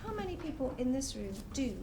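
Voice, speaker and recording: A woman speaking as a panelist in a public discussion, earnest and measured as she argues her point. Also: sits high, very animated, background noise. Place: public talk venue.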